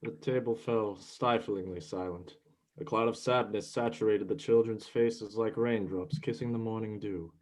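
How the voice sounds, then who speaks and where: A man's voice: lowish voice, fairly even intonation, moderate pace, darkish tone, low-quality recording. A man reading scripted narration for a dramatic reading of a myth play.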